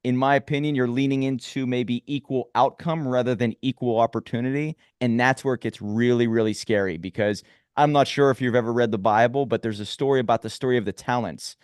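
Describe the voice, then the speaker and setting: A man's voice: medium pitch, steady tone, brisk, a little mellow, clear, resonant tone, dry recording, studio quality. A man hosting a podcast interview, earnest and opinionated as he lays out his views. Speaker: podcast host. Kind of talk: conversational interview.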